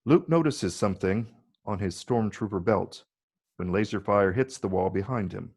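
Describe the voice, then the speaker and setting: Low, gravelly male narrator. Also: moderate pace, darkish tone. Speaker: narrator reading stage directions. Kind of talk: scripted narration. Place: virtual read, recorded remotely.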